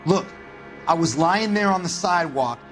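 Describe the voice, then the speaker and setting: An actor playing a sleazy, defensive ex-convict suspect, grudging and slangy, in a 1940s crime game. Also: male voice, on the high side, expressive, normal speed, close to an everyday voice. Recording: music behind dialogue.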